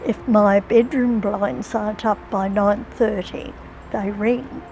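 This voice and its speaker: A woman's voice: medium pitch, steady tone, slow pace, creaky, a little mellow, slight background noise, poor audio. An older woman giving a plain personal account as an interviewee.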